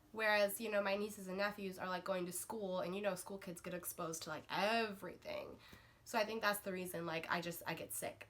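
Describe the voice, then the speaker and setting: A woman's voice: mid-range voice, bright, forceful tone. A woman book vlogger getting over a cold, chatting to camera in a rambling way with lots of fillers and restarts. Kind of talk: casual chat to camera.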